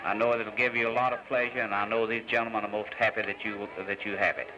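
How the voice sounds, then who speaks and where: A man's voice: fairly low pitch, level tone, fast, dull audio. An American man giving a formal presentation speech outdoors. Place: outdoor park gathering. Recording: noisy 1950s television film soundtrack.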